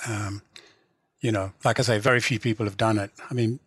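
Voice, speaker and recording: Husky middle-aged Englishman, dry close sound. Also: on the low side, fairly even intonation, fairly quick, a bit bright, no room sound. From England. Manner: reflective, hedging, frequent 'you know'. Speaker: guest, writer and literary translator. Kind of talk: conversational interview.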